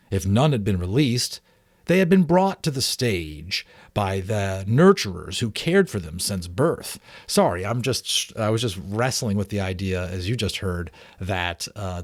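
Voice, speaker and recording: A man's voice: fairly low pitch, darkish tone, dry recording, studio quality. A man reading a story aloud in an informal, dramatic way, sometimes stumbling and correcting himself. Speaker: amateur audiobook reader.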